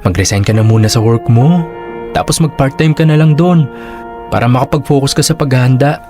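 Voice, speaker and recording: Radio drama: man as an insistent younger brother, mid-pitched and coaxing. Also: fairly quick, over music, natural voice. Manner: persistent, coaxing, practical. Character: younger brother working abroad in a call center.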